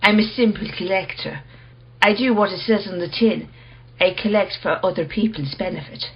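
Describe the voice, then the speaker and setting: An actress playing an earnest, quietly challenging woman in a radio play, speaking in a measured, persistent, questioning manner. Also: medium pitch, slightly rough, highs cut off. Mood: earnest, probing.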